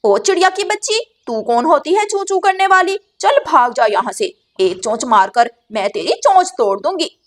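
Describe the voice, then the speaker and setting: Woman doing a high-pitched bullying cartoon crow. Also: big pitch swings, normal speed. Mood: angry threatening. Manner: scolding, threatening. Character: bullying bad-tempered female crow, a doting mother. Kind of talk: Hindi children's cartoon dialogue.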